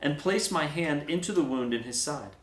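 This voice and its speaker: A man's voice: fairly high pitch, animated, normal speed, lightly acted. Man acting emphatic doubting disciple, echoey room. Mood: defiant doubt. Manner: emphatic, declaring. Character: Thomas, stubborn doubting male disciple.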